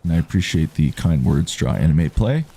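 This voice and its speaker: A man with a baritone voice giving calm, unhurried commentary while he plays a game and reads chat on a live stream. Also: husky. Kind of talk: relaxed live gameplay commentary.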